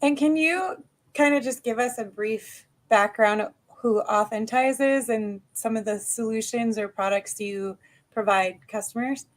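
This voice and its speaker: An American woman hosts a live interview over a video call, her voice sitting a little high. She talks in an upbeat, chatty way, greeting the guest and viewers. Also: unhurried. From United States. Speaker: podcast host.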